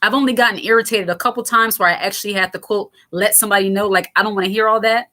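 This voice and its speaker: A woman in her thirties with a raspy, lower voice, hosting a casual commentary that answers viewer comments. She talks in a frank, lighthearted way, with lots of fillers and restarts. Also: steady tone, quick pace, bright, forceful tone. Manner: fillers and self-interruptions. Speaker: host.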